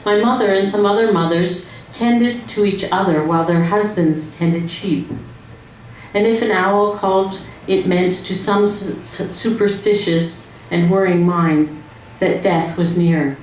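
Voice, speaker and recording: Slow, lowish-voiced woman in very echoey room. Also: steady tone, darkish tone. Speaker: poetry reader. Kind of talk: poetry reading at a live event. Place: indoor room at a live event. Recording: very echoey room, faint noise.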